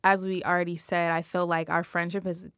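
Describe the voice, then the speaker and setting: A woman hosts a casual podcast conversation with eager enthusiasm, often stopping and restarting her thoughts. Her voice sits a little low for a woman. The recording is dry and close. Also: flat delivery, brisk, a bit bright, clean tone, no room sound. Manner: chatty, enthusiastic, many restarts. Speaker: podcast host. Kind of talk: casual interview chat.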